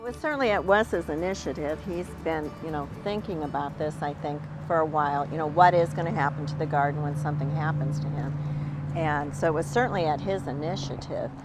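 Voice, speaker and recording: A woman's voice: low voice, brisk, over loud music. A woman, a friend of the garden owner, speaking in an interview, hesitant and full of fillers.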